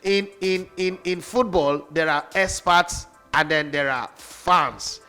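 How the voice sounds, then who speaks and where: Boastful, high-pitched male football pundit. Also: slow pace, bright, forceful tone, smooth, soft background music. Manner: boastful, mocking, emphatic. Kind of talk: heated sports radio debate.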